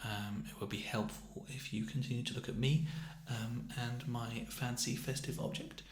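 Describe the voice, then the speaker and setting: A soft-spoken British man in an ASMR roleplay as a clerk, talking haltingly and a little awkwardly, often dropping close to a whisper. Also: mid-range voice, fairly even intonation, echoey room. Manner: hesitant, gently awkward, halting delivery. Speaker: ASMR roleplayer playing a festive assessment clerk. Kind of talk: ASMR roleplay, improvised personal-attention chat.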